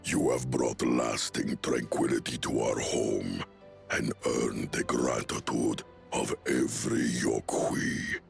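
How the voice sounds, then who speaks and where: A giant chief in a game, really deep, gravelly, takes his time. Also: flat delivery, takes it slowly, soft background music, heavily acted. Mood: stately. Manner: ceremonial, stately speech. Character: booming giant chieftain, proud and gracious.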